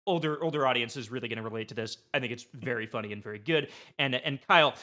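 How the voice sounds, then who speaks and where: A man chatting casually as a podcast guest, effusive and animated, stumbling over his words with enthusiasm. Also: mid-range voice, fast talker.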